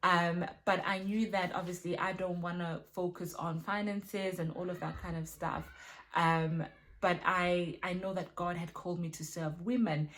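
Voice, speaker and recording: Chatty woman from Zimbabwe, lowish voice. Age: adult with children. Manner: chatty, many fillers and tag questions. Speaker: vlogger and women's ministry leader. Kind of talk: casual self-filmed Q&A. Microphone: phone.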